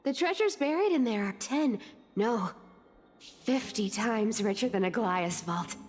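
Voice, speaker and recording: A woman's voice: a little high, animated, a little slow, darkish tone, slightly rough. A woman playing a greedy, teasing young female demigod thief in an anime-style video game, with playful, drawling, theatrical taunts. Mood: sly, bargaining.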